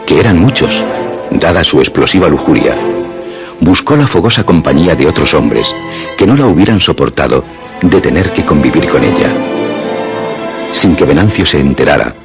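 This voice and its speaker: A man narrating a scripted radio drama in a dramatic storytelling style. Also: very deep voice. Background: music.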